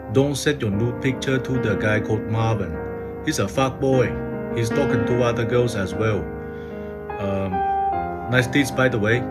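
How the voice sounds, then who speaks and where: Deadpan joking man in a casual video clip, slow, with loud guitar behind. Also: mid-range voice. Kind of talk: casual social video message.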